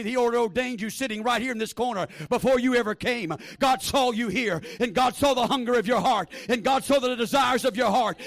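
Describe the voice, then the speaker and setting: A man preaching a fervent sermon in a small church, repeating phrases and building to shouted exhortation over a handheld microphone. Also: very high-pitched, gravelly. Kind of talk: Pentecostal-style sermon.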